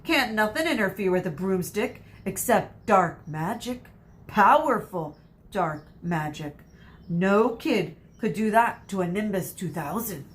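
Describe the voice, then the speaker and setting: Gruff rustic gamekeeper done by a woman reader: worried, mumbled folksy lines, storybook read-aloud. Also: medium pitch, lively intonation, unhurried, slight background noise, natural voice. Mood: shaken.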